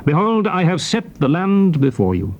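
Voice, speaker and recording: Moses, a declamatory prophet. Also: male voice, a little high, very animated, moderate pace, phone-quality sound. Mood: solemn, exhorting. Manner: declamatory, formal, emphatic phrasing. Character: Moses, solemn male prophet leader addressing his people. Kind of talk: dramatized scripture oratory. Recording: noisy old cassette tape transfer.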